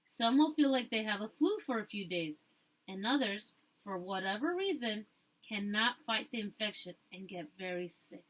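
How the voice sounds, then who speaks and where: A woman's voice: mid-range voice, expressive, moderate pace, a bit bright, low-quality recording. Woman reading as a grave young male doctor.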